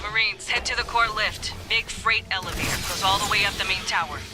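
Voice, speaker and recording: Man playing a brash high-pitched marine sergeant. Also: a little fast, over loud music, lightly acted. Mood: wry, confident. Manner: casual, sardonic, profane military talk. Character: brash, gruff male marine staff sergeant leading a squad. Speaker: squad leader. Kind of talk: video game voice acting, radio briefing.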